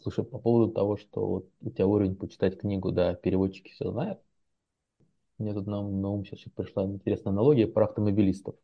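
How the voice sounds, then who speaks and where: A man with a lowish, gravelly voice chats easily as an interview guest. He rambles through anecdotes, pausing on fillers and restarting words. The sound is dull and lo-fi. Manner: relaxed storytelling with many fillers and restarts. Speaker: professional translator, guest. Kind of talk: casual interview chat.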